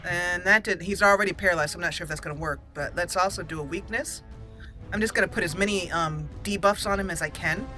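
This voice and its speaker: A woman's voice: on the low side, fast, bright, forceful tone, faint music behind. A woman giving casual let's play commentary, thinking aloud and talking straight to the game's characters. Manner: thinking aloud, addressing game characters directly. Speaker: gaming channel host.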